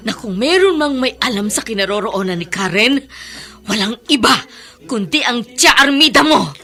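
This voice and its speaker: Anguished middle-aged mother, radio drama, bright, widely swinging pitch, pleading. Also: animated, normal speed. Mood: suspicious, angry. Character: anguished, desperate mother searching for her missing daughter. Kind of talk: Tagalog radio drama acting.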